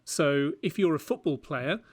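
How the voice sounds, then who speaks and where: A man's voice: a little high, expressive, unhurried, dry recording. A British man chatting casually as a podcast host. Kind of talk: casual chat.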